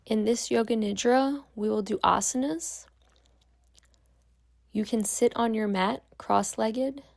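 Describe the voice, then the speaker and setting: A woman guiding a meditation as a yoga nidra instructor, speaking calmly and gently with long pauses. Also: a little high, creaky. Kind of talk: guided meditation.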